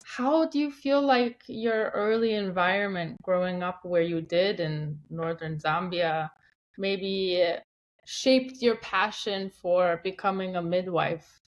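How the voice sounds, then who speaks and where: Woman interviewer with halting, slightly low voice. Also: highs cut off. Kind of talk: conversational interview.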